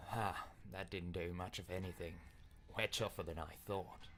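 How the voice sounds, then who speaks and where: A man voicing stubborn, theatrical inner voices from a dark fantasy game in an intense, deliberate manner. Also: sits low, fairly even intonation, faint music behind. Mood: scornful. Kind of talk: dramatic game character acting.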